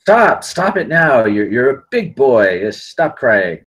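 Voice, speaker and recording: A male actor as a gruff working father with a rough, husky voice. His pitch moves a great deal as he speaks in curt, stammering bursts. It is dramatic script-reading, full of irritation and stress. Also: big pitch swings, slow, a bit bright, heavily acted. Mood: stern. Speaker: actor at a table read. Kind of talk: live script table read.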